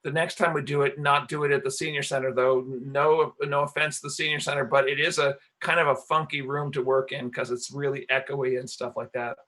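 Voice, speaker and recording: A man with a bright, forceful voice at an ordinary pitch. He talks casually as a writer in a group discussion. His delivery is halting, with words often repeated as he goes. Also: flat delivery. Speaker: radio play writer.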